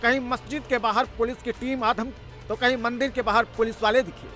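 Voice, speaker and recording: A man's voice: very high-pitched, fairly even intonation, a little fast, sharp tone, faint music behind. A man narrating a television news report from a script in an emphatic, dramatic, rhetorical style.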